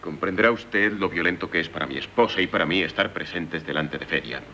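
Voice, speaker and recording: An actor playing a proud, guarded imperial chamberlain of thirty-eight in 1970s radio theatre, curt and formal. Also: male voice, deep voice, a little fast, pushed and bright, slight background noise. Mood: guarded, indignant. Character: proud, guarded 38-year-old male imperial court chamberlain.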